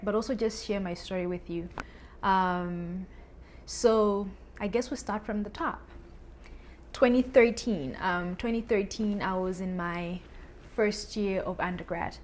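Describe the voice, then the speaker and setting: A woman's voice: mid-range voice, echoey room, slight background noise. Chatty young woman vlogging. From Malawi. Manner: chatty, upbeat, lots of "like" and "um". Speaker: vlogger, content creator. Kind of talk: casual chat to camera. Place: apartment with a river view.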